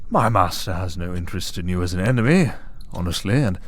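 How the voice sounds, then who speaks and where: A man playing a smug, tempting servant of a dark master in an ASMR audio drama, speaking in a deliberate, taunting, persuasive way. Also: low voice, lively intonation. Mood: coolly needling. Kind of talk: ASMR audio roleplay acting.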